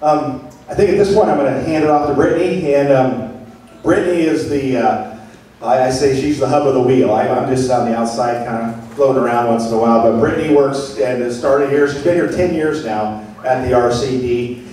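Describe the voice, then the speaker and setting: A man giving a halting presentation about conservation work, often repeating words. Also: mid-range voice, darkish tone. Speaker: board member of a conservation district. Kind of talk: presentation to a club meeting. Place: meeting room. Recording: echoey room from far off.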